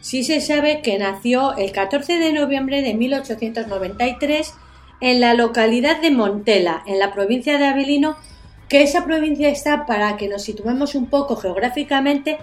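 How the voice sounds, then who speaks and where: Female segment presenter, slightly high register, chatty storyteller for a radio show. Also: normal speed, faint music behind. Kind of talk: conversational storytelling.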